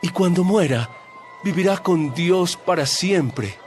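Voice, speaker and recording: A man playing a calm, authoritative male teacher, speaking in a measured, solemn way in a dramatized audio Bible. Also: high-pitched. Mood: earnest teaching. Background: soft music.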